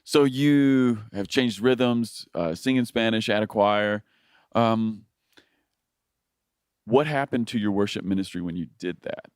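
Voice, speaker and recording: A man's voice: on the low side, measured pace, clean tone, no room sound, studio quality. A man hosting a casual podcast interview, affirming his guest with short replies and asking easygoing questions. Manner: warm affirming listener, short "yeah" replies. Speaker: podcast host.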